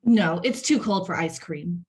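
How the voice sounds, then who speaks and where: A woman playing a bitter, jealous, sharp-tongued wife who is curt and scornful, in a screenplay table read. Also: fairly low pitch, expressive, a little slow, breathy edge. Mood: dismissive.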